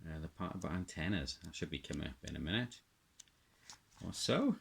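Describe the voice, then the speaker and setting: Deep-voiced South Welsh man, rambling casually. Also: animated, brisk, vocal fry, slight background noise. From Wales. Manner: hesitant, rambling, reminiscing. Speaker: radio hobbyist presenter. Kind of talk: casual unscripted show-and-tell.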